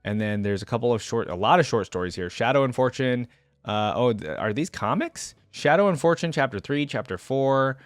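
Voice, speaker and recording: A man's voice: mid-range voice, animated, normal speed, no room sound, studio quality. A man hosting a reaction video, reading lore aloud and adding casual commentary as he thinks aloud.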